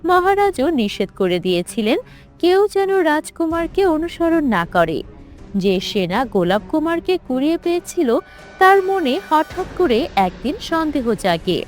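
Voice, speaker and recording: A woman's voice: high-pitched, big pitch swings, moderate pace, soft background music. A woman narrator reading a scripted folk tale in storytelling style.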